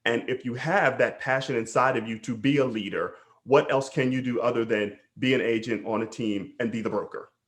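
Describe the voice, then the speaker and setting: A man's voice: medium pitch, a little fast. A man hosting a casual interview as a coach, enthusiastic and affirming.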